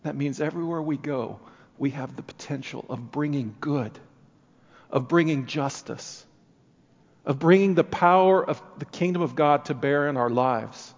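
A man with a somewhat high voice preaches a sermon in an earnest manner. His phrases build in force and then drop back to quieter stretches. The sound is dull and muffled, with a long reverb trailing through the church. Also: normal speed. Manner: earnest, rising and falling emphasis.

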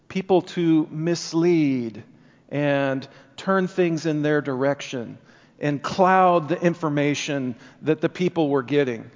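A man with a somewhat high voice preaches a sermon in an earnest manner. His phrases build in force and then drop back to quieter stretches. The sound is dull and muffled, with a long reverb trailing through the church. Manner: earnest, rising and falling emphasis.

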